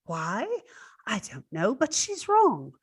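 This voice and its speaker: A woman's voice: mid-range voice, huge pitch swings, slow, breathy edge, exaggerated voice. A woman playing a scheming, cosy, outspoken household servant in an old-time radio drama, coaxing and conspiratorial.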